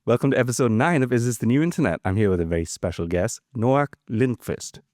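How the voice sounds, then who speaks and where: A man's voice: mid-range voice, quick pace, a little mellow, dry recording, studio quality. A man hosting a podcast interview, talking in an upbeat, enthusiastic way. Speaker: podcast host. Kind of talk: casual interview conversation. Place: Toronto.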